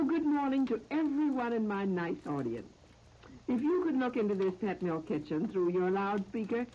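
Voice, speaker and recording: Lilting American woman on muffled 1930s radio. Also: a little high. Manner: chatty, playful, folksy. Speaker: radio cooking show host. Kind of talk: 1930s radio homemaking talk, scripted. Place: radio kitchen studio. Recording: muffled, noisy 1939 radio recording.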